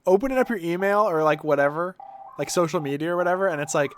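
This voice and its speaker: A man's voice: on the high side, quick pace, slight background noise. A man doing casual, rambling game stream commentary, giving opinions informally and sometimes reading lines aloud.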